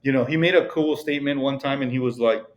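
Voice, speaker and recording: A middle-aged man with a Mexican accent speaks as a podcast guest at a mid pitch. He rambles in a loose, casual way, leaning on "you know" and "like" as he goes. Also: fast talker. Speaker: podcast guest, real estate broker. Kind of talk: casual interview conversation.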